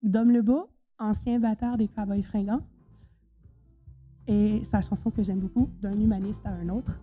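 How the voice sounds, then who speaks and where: A woman's voice: medium pitch, steady tone, a little slow, warm tone, soft background music, low-quality recording. A woman giving a conference presentation, chatty and full of hesitations. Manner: chatty, hesitant with many fillers. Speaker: conference speaker. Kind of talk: presentation talk.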